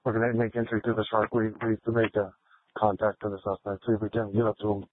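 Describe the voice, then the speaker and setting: A man's voice: on the low side, flat delivery, fast. A male police officer giving an emergency update over police radio. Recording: tinny, slightly noisy scanner radio.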